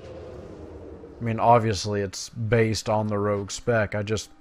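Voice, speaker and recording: A man's voice: on the low side, little pitch movement, takes it slowly, a little hiss or hum. A man giving casual commentary as he plays a game, muttering playful asides. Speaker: gamer narrating his playthrough. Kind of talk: casual gameplay commentary, reading quest text aloud.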